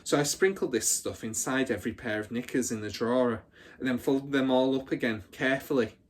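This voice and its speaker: A man's voice: mid-range voice, fairly quick, lightly acted. A man voicing a boastful, battle-hardened older schoolgirl in a storybook, telling her exploits with swaggering drama. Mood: boastful, relishing.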